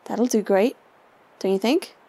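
A woman's voice: mid-range voice, unhurried, mellow, natural voice. An actress playing a capable young woman in an audio drama, dry and teasing toward her companion.

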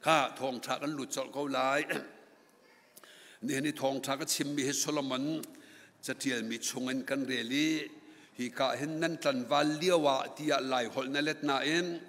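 A man's voice: mid-range voice, steady tone, measured pace, fairly bright tone. A middle-aged man preaching a sermon from a pulpit microphone in a large church hall, rising to emphatic passages. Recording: long church hall reverb.

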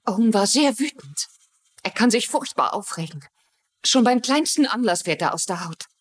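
Husky, anxious young mother. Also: mid-range voice, sing-song, normal speed. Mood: earnest, accusing. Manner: earnest, fearful. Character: anxious, vulnerable young mother wrongly suspected of murder. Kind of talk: German-dubbed TV mystery drama acting.

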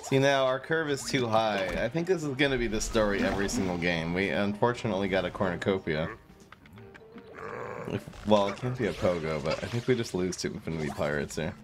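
A man's voice: lowish voice, fairly quick. Streamer, man, 30s, loose self-mocking play-by-play, game music underneath. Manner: chatty, self-mocking, reads chat aloud. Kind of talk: casual gameplay commentary. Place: small room indoors.

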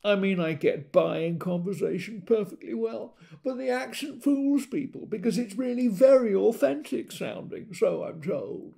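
A male actor puts on a smug male colleague for an audio drama. The voice is raised high and swoops about. He is affected and pleased with himself, feigning modesty. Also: expressive, moderate pace, creaky, few pauses, warm tone. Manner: affected, self-satisfied. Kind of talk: mimicry within an audio drama monologue.

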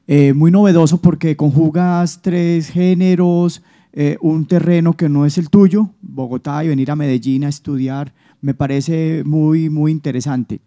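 A man's voice: a little high, steady tone, unhurried, dark tone. A middle-aged Colombian man courteously commenting and asking a question from the audience into a handheld microphone. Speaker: audience member. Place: auditorium seating.

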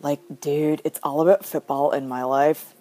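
A woman's voice: low-pitched, fairly even intonation, normal speed, dark tone, no echo, pitched below the performer's own voice, close to an everyday voice. A woman voicing a laid-back, food-loving dude in a toy animation, with a slangy drawl.

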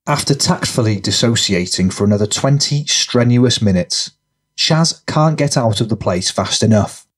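A man narrating a gritty crime audiobook, reading scripted present-tense fiction. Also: mid-range voice.

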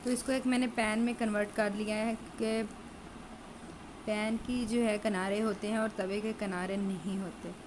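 A woman's voice: medium pitch, level tone, normal speed. A woman, explaining each step chattily as she cooks at home. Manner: chatty, explains steps while cooking. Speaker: home cook. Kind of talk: cooking tutorial narration. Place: home kitchen at a stove. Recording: noisy home recording.

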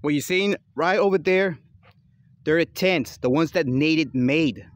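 A man's voice: a little high, unhurried. A young man talking casually to viewers as he hosts a vlog on a phone outdoors. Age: young adult. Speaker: vlogger, channel host. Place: outdoors by a lake, handheld. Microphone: phone at arm's length. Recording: noisy outdoor phone recording.

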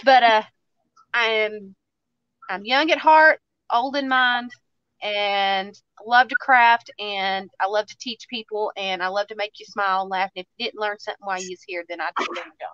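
A woman's voice: mid-range voice, fairly even intonation, normal speed, fairly bright tone, clean tone, dry recording, highs cut off. A woman in her thirties with a Southern US accent, chatting and joking casually while hosting a craft livestream. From Tennessee, USA. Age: 30s. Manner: chatty, joking, self-mocking.

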